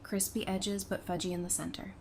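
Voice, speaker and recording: A woman's voice: medium pitch, flat delivery, brisk, darkish tone, lightly acted. Woman playing playful teasing partner, noisy background. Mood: affectionate. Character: teasing, affectionate woman in her early thirties. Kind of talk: audio drama acting.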